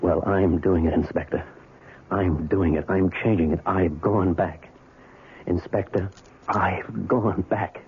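An actor playing a weary middle-aged husband in a 1970s radio drama, grave, halting and insistent about his guilt. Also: low-pitched, steady tone. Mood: haunted, insistent. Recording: muffled narrowband 1970s radio recording.

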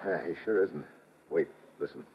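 An actor playing a tough, wry adventure hero in vintage radio drama, with clipped, slangy delivery and first-person narration. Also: male voice, a bass voice, moderate pace, creaky, a little hiss or hum. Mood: hushed, tense. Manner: clipped, hard-boiled, slangy. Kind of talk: 1940s-50s radio adventure acting. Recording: dull old radio recording with music.

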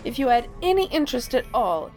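A woman's voice: a little high, very animated, brisk, a little mellow, soft background music. A woman playing a stern, cold, commanding deputy director in a science fiction audio drama. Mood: icy, cutting. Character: stern, imperious female deputy director of a federal agency.

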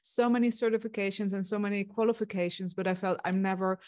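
A middle-aged woman with a Dutch accent speaks as an interview guest. She thinks aloud as she goes, pausing on fillers and restarting her sentences, earnest and reflective in tone. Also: mid-range voice, steady tone, a little mellow. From Netherlands. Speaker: guest, success coach. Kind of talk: conversational interview.

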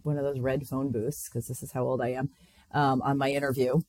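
A woman's voice: a bass voice, flat delivery, fairly quick, a little mellow, no echo. A middle-aged woman from Connecticut, a company president, speaking as a podcast guest and telling her story in a friendly, earnest way with frequent ums and restarts. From Connecticut, USA. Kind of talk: casual interview storytelling.

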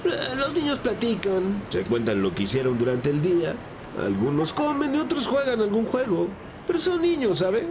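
An actor playing a plain-spoken, cooperative school bus driver answering questions in an audio drama. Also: male voice, sits high, huge pitch swings, normal speed. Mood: explaining, cooperative. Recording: noisy, vehicle ambience behind.

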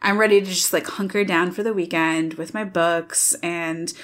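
A woman's voice: lowish voice, steady tone, normal speed, a bit bright. A woman from Michigan co-hosting a book podcast, upbeat and chatty, laughing often and saying "like" a lot. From Michigan, USA. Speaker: podcast co-host. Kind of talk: casual chat.

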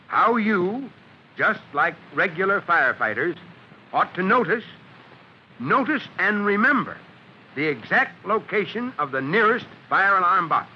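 A man playing an American fire chief, instructing children deliberately and emphatically in an old-time radio address on a muffled recording. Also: high voice, a little hiss or hum. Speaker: safety-notice presenter.

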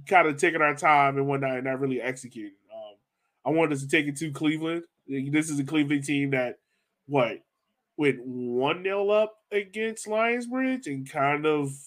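A man's voice: a little high, moderate pace, a bit bright. A man hosting a soccer fan podcast in a casual, conversational style. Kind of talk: casual chat.

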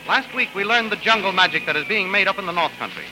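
A man's voice: high-pitched, lively intonation, fast, low-quality recording. A man narrating a radio adventure serial as announcer, in a dramatic 1930s style.

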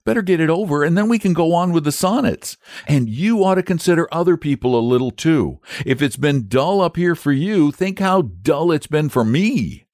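A man voicing an excitable young boy. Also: normal speed, few pauses, no echo, studio quality, natural voice. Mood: pleading. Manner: high, eager, pleading.

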